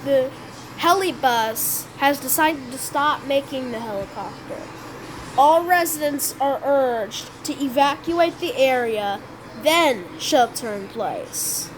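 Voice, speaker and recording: A woman's voice: sits high, expressive, noisy background. Woman playing a stumbling emergency broadcast announcer. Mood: urgent. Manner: halting improvised announcement, frequent restarts and self-corrections. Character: improvised emergency alert announcer, stiff and official.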